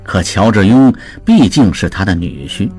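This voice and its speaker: A man voicing a calm, scheming merchant in an audiobook, speaking in a smooth, slyly jovial, unhurried way. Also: lowish voice, soft background music. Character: sly, scheming male merchant, calm and calculating. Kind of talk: audiobook character voice.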